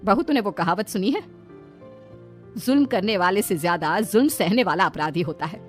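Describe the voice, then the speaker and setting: A woman's voice: medium pitch, lively intonation, moderate pace, faint music behind, lightly acted. A woman voicing a middle-aged mother-in-law in a cartoon story, commanding and fussy. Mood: coaxing. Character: bossy, complaining older mother-in-law.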